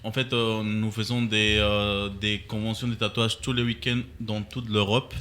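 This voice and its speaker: A man's voice: lowish voice, level tone, moderate pace, pushed and bright, smooth. A man with a lilting Costa Rican Spanish accent, speaking casually and hesitantly as a radio guest. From Costa Rica. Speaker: interview guest, event organizer. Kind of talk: casual chat.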